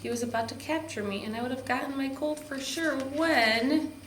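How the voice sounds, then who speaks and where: A woman's voice: high voice, normal speed. Woman reading a storybook, echoey room. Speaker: park district program supervisor reading to children. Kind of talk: children's storybook narration. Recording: echoey room with background noise.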